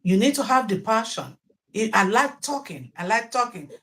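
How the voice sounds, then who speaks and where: A woman's voice: fairly high pitch, sing-song, normal speed, fairly bright tone. A middle-aged Nigerian woman, a native Yoruba speaker, talking at length as a teacher being interviewed, often repeating words and restarting. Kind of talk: interview answers.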